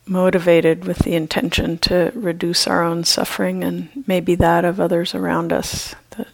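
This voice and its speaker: A woman leading a guided meditation as a teacher, speaking gently and unhurriedly with long pauses. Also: lowish voice, monotone, vocal fry, rough recording.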